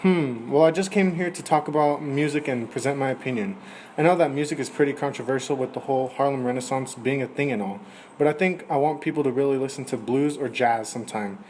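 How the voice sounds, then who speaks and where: A man's voice: mid-range voice, quick pace, darkish tone, lightly acted. A man playing a polite, enthusiastic aspiring musician in a 1920s radio interview. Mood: earnest. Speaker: musician guest. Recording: noisy amateur recording.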